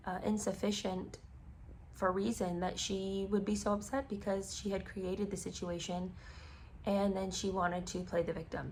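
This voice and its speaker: A woman hosting a casual commentary podcast, hoarse with her voice cracking, talking in an exasperated, sarcastic tone. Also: medium pitch, a little hiss or hum. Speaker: podcast host. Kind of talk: casual commentary reading a memoir.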